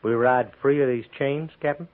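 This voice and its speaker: A man's voice: mid-range voice, moderate pace, lightly acted. A man playing a brooding, sly prisoner recruit in a 1950s radio western, speaking in an insinuating drawl. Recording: tinny 1950s radio broadcast.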